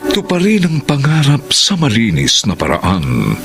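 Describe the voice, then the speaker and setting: A man's voice: medium pitch, huge pitch swings, a little slow. A man narrating a radio drama in a solemn, scripted style. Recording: loud guitar music under voice.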